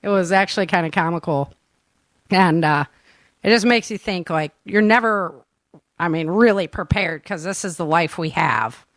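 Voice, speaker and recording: A woman's voice: lowish voice, moderate pace, no room sound, studio quality. A woman hosting a casual live chat show, talking informally with plenty of everyday slang. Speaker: show host and producer.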